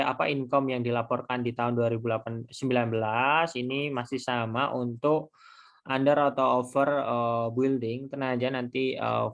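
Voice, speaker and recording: Man explaining step by step, haltingly. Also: medium pitch, vocal fry. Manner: informal, halting, step-by-step explaining with self-posed questions. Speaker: accounting lecturer. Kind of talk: explanatory screen tutorial lecture.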